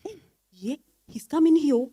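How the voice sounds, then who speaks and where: A woman's voice: high-pitched, normal speed, warm tone, dull audio, a little hiss or hum, natural voice. A woman with a Ghanaian accent playing a frank, worldly woman friend in an emphatic dramatized stage reading. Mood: excited. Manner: emphatic, exclamatory, sisterly.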